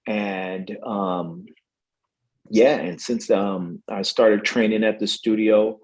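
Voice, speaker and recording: Man, fitness trainer, husky voice, fairly low, monotone, halting with fillers. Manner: hesitant, many fillers and restarts. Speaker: EMS trainer. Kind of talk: casual webinar talk.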